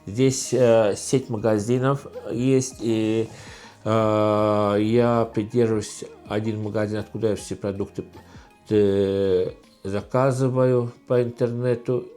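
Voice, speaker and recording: A middle-aged man from Estonia with an Estonian accent, talking casually in a question-and-answer vlog, halting with many fillers and restarts. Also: on the low side, flat delivery, mellow, soft background music. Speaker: channel host.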